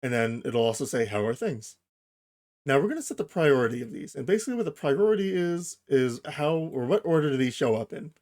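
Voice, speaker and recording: A man narrating a casual software tutorial, explaining things informally, step by step, as its host. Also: medium pitch, very animated, a little fast.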